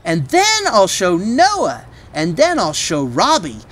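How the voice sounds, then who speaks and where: A woman voicing an excitable little storybook girl, exclaiming in drawn-out, sing-song phrases. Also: medium pitch, full character voice. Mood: boastful excitement. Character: excitable little girl showing off her stuffed bunny.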